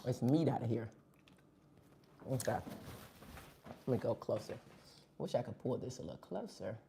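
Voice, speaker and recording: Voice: medium pitch, expressive, normal speed, vocal fry, noisy background. A live-stream host chatting casually between bites of food, sniffling. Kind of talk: casual live-stream chat while eating.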